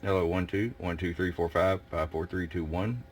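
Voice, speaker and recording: Male, very low pitch. Radio technician doing a casual hands-on demo, plain shop talk, clearly noisy recording. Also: fairly even intonation, moderate pace.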